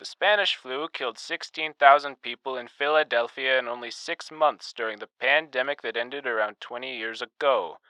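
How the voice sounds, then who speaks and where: A man's voice: medium pitch, sharp tone, clear, resonant tone, no room sound, natural voice, low-quality recording. A man playing an earnest 1930s radio presenter, reading scripted narration in a radio play.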